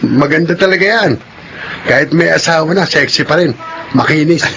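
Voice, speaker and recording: A chummy Filipino sidekick in a TV drama. His voice is high for a man with a gravelly creak. There is clear noise behind him. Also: normal speed, a bit bright. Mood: curious, teasing. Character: jovial drinking buddy and sidekick of thug. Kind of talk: Filipino television drama acting.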